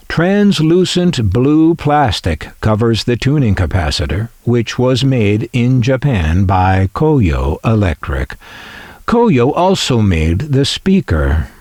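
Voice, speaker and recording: A man giving a measured, explanatory narration as a collector presenter, with wry, musing conversational asides. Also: on the low side, a little mellow, no room sound. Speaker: collector presenting a vintage radio.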